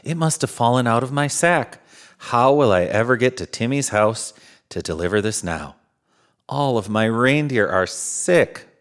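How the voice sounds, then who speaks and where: A man acts out a jolly old Santa Claus for a children's storybook. He is kindly and given to chuckling, with a pitch that swings widely and merrily. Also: unhurried, clear, resonant tone, close to an everyday voice. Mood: worried. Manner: booming ho-ho-ho chuckles, kindly and animated. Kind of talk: children's storybook read-aloud.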